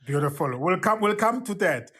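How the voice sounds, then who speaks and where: A man's voice: sits high, expressive, normal speed, fairly bright tone. A man hosting a live podcast interview, effusive and prone to repeating his words as he starts a thought. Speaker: podcast host.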